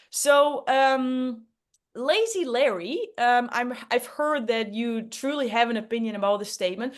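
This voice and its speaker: A woman facilitating an interactive workshop in an encouraging, reassuring way. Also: a little high.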